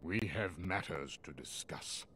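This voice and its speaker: An actor voicing a stern, wise old wizard master in a video game, speaking formally and gravely in measured phrases. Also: male voice, low voice, animated, sharp tone, full character voice. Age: older. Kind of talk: video game cutscene acting.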